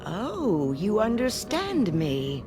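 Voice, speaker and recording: An actress playing a stern, domineering schoolteacher with a commanding, insistent manner in a radio drama. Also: female voice, medium pitch, wildly swooping pitch, very slow, full character voice. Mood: cold, accusing. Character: stern, domineering female schoolteacher, coldly obsessive. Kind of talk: vintage-style dramatic radio acting. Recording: music under the voice.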